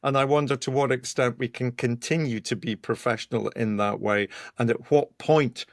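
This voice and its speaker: A man with a hoarse voice, co-hosting a podcast from his home study and talking in a thoughtful, rambling way. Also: medium pitch, fairly even intonation, moderate pace, no echo, clean studio sound. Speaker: podcast co-host, criminologist. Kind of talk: casual podcast chat. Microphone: headphones and home microphone.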